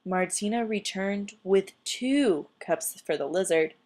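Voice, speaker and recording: Slow-paced woman storyteller. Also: mid-range voice, animated, darkish tone. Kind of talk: expressive children's storybook read-aloud.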